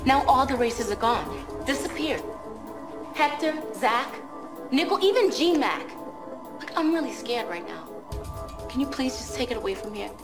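A woman's voice: on the high side, sing-song, moderate pace, pauses often, over music. An actress playing a frightened, pleading young woman in a video game, anxious and hesitant. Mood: scared, pleading. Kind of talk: video game cutscene acting.

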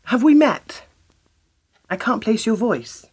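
Woman acting sardonic young woman, raspy, lively intonation, dry, challenging, radio play. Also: fairly high pitch, slow pace, heavily acted. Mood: wary, questioning.

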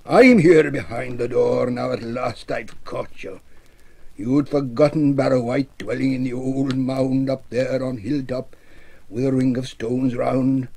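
A man voicing a menacing male barrow ghost in a poem reading, speaking slowly and gloatingly. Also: mid-range voice, steady tone, gravelly, a little mellow.

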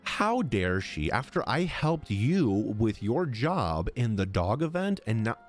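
A young male streamer reacts casually to a game. His mid-range voice is expressive and slangy, with lively swings in pitch. He is close to the mic, with game music behind him. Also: measured pace. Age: young adult. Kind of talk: casual live reaction commentary. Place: indoor gaming room. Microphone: close desk microphone.

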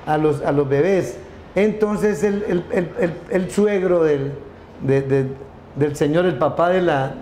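A middle-aged man tells a long story as a guest, stumbling and restarting often. His voice sits a little high. The room gives it a noticeable echo, with faint noise underneath. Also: darkish tone. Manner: rambling, many restarts and repeated words. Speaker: company founder, interview guest. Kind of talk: casual storytelling interview. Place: room with wooden wolf statue, indoors.

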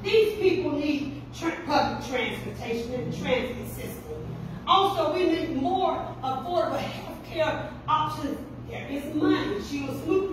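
A middle-aged woman with a Southern US accent gives an impassioned, colloquial campaign speech as a political candidate. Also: sits high. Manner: impassioned, emphatic, colloquial public speaking. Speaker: political candidate, town councilwoman. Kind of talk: campaign speech at a community gathering. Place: medium-sized banquet room, indoors. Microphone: camera a few metres away at a dining table. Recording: roomy, distant, noisy phone recording from audience.

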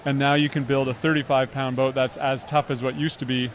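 A man in his thirties with a handheld mic, asking quick, friendly questions in a trade show interview inside a busy expo hall. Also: mid-range voice, level tone. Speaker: interviewer, publisher.

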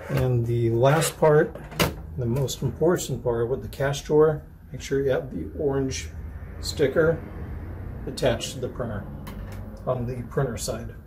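A man in his forties giving step-by-step hardware setup instructions, pausing as he works with his hands. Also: medium pitch. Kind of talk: step-by-step product setup tutorial, demonstrating. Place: small office indoors. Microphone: camera a few feet away. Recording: distant echoey room mic, loud handling noise.

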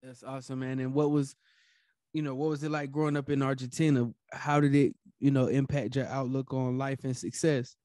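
A man's voice: mid-range voice, little pitch movement, fairly quick, mellow, no room sound, clean studio sound. A man hosting a podcast and asking questions in a casual, conversational way. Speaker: podcast host. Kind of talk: casual interview.

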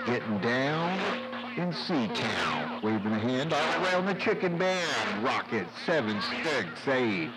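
A man's voice: medium pitch, very animated, slow. A man giving showy, rhyming CB callouts full of catchphrases, heard over a CB radio. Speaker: CB radio operator. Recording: noisy CB radio transmission. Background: radio static and hiss.

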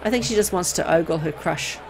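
A woman reading a horror visual novel aloud in an expressive storytelling style. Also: lowish voice, a little fast, creaky, a little hiss or hum, rough recording.

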